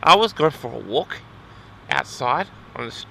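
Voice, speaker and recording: A man's voice: medium pitch, very animated, moderate pace, vocal fry, sharp tone, slight background noise, poor audio. A man telling a story casually as host of an audio log, rambling in an awkward, flustered, exasperated way.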